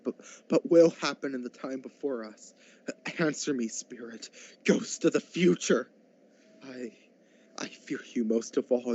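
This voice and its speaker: A man's voice: medium pitch, darkish tone, natural voice. A man playing a frightened, pleading old miser in a radio drama, with a stammering, dramatic delivery. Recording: dull lo-fi audio.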